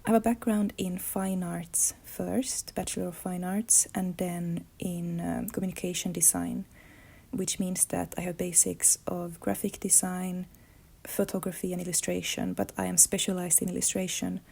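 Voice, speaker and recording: Gravelly, even-toned Finnish-woman. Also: lowish voice, moderate pace. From Finland. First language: Swedish. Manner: thoughtful, searching for words. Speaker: illustrator and comics artist. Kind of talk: reflective life-story monologue.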